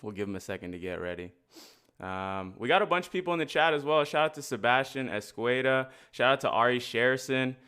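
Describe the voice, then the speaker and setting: A man's voice: mid-range voice, animated, fairly quick, bright, forceful tone, smooth. A man hosting a live chat room in a casual, slangy and encouraging manner.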